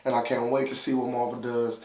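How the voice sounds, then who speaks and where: A man's voice: mid-range voice, monotone, fairly quick, darkish tone. A man giving a casual, opinionated film review as co-host, speaking emphatically and repeating phrases for stress. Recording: roomy echo, distant from mic.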